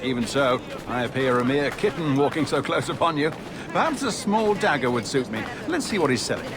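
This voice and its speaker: A man acting a timid, jolly English merchant gentleman in a video game, with a British accent and a fussy, polite, hesitant way of talking. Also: mid-range voice, sing-song, fairly quick, noisy background, lightly acted. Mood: jolly, excited.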